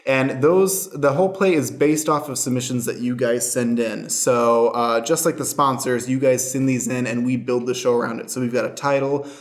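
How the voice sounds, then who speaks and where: A man's voice: medium pitch, fairly even intonation, normal speed, hardly any pauses. A man hosting and explaining an improvised radio play podcast as its stage manager, in a conversational presenting style. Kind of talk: friendly scripted-style show introduction and explanation.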